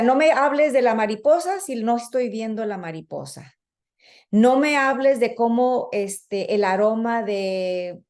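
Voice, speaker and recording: A woman's voice: medium pitch, a little mellow. A woman giving a conversational training talk to teachers, using fillers and acting out children and teachers. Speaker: early childhood educator and trainer. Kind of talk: professional development talk to teachers.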